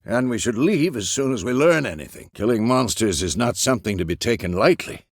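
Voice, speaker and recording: An aging, seasoned monster hunter for a fantasy video game. His voice is gravelly and mid-pitched, with lively movement in it. He speaks as a gruff, measured teacher, recorded dry and clean. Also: dry recording. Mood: stern, practical. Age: older. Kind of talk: video game character dialogue.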